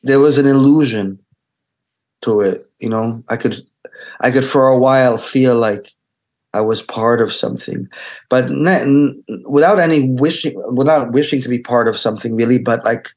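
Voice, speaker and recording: A middle-aged man born in Israel and raised on Hebrew, giving a thoughtful interview about his life as a photographer. He gropes for words, restarts often and circles back on his ideas. His voice sits at an ordinary male pitch. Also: darkish tone. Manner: halting, searching for words, many restarts. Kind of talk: interview, reflective talk.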